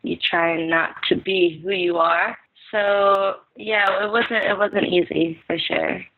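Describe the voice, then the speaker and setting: Young Filipino-born woman, podcast guest, hesitant and halting, phone-quality audio. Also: mid-range voice, fairly even intonation. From Philippines. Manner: soft-spoken, hesitant with many fillers and restarts. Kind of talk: casual interview answers. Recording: tinny phone-line sound.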